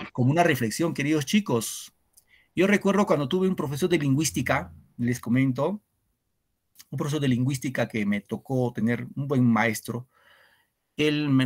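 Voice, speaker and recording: A man, teaching an online literature class to secondary students in an earnest, reflective way, often asking rhetorical questions. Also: mid-range voice. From Peru.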